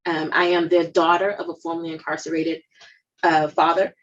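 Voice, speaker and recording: A woman lawyer from Dallas speaking on a panel about her upbringing, measured and personal, with fillers and restarts. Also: fairly low pitch, level tone, lo-fi sound. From Dallas, Texas. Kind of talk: panel discussion.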